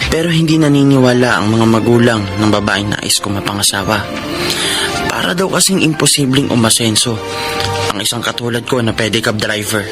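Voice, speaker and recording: An actor playing an earnest, striving young Filipino pedicab driver in a radio drama. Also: male voice, mid-range voice, fairly quick, natural voice. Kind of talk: radio drama narration and acting. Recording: loud music under the voice.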